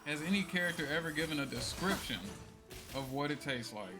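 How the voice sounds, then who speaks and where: A man's voice: fairly high pitch, a little fast. A man streaming a video game with casual, slangy commentary, musing aloud playfully. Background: game music.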